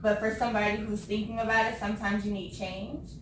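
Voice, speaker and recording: A woman in her thirties from California, chatting casually on a talk show, laughing often and pausing with ums. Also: mid-range voice, flat delivery. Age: 30s. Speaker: co-host. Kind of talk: casual chat. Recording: echoey room, far from the mic, background noise.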